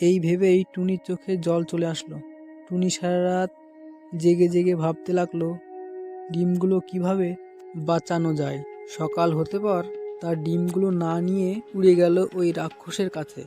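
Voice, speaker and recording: A man's voice: high-pitched, little pitch movement, moderate pace, dark tone, faint music behind. A man narrating a scripted children's cartoon story as its storyteller.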